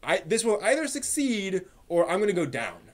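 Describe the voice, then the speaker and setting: A man with a slightly high voice hosting a casual anime review, explaining in a halting way with repeated words and emphatic asides. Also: wildly swooping pitch. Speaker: host reviewing anime on a live stream.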